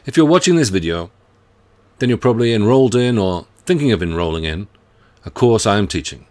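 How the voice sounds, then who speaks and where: A middle-aged man, a professor giving a scripted welcome to his students. His voice sits very low, and he speaks in a measured, earnest, friendly way. He was recorded at his desk in a book-lined home study. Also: animated, a little mellow. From United Kingdom. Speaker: university professor. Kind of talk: scripted self-introduction to students.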